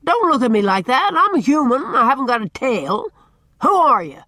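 Lady doing a big angry bully guy from a storybook, loud n blustery, barks questions, voice jumps all over, dry recording. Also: very animated, moderate pace, no echo, exaggerated voice. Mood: exasperated, shouting. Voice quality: loud, booming. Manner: blustering, demanding, barking questions. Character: big fierce red-bearded middle-aged man, bullying, bad-tempered. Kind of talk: audiobook character voice.

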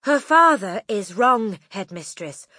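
A woman voicing a gentle, timid yet determined young teacher in an audiobook, pleading politely. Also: medium pitch, animated, takes it slowly, breathy edge, no echo, studio quality, voice pushed up, exaggerated voice. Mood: earnest, urgent. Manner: pleading, soft, polite protest. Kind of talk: audiobook character acting.

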